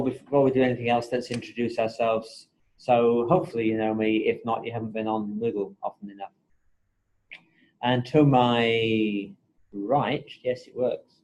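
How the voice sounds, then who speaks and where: A man teaching a university class, talking in a relaxed, chatty way with joking asides, recorded on a table mic in a college classroom. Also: medium pitch, poor audio. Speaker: university lecturer. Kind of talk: classroom session recorded for online students. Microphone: table mic in the room.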